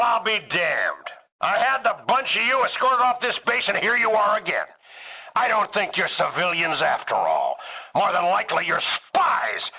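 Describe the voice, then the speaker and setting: An actor playing a furious, bullying army drill sergeant in a video game, barking orders with contempt and sarcasm. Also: male voice, very high-pitched, gravelly, sharp tone, heavily acted, poor audio.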